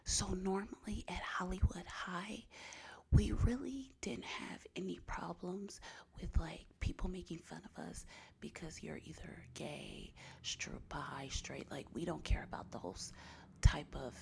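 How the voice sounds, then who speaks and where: A woman's voice: fairly low pitch, expressive, measured pace, creaky. A woman from Los Angeles whispering blunt, sassy advice in an ASMR style. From Los Angeles, USA. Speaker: advice giver.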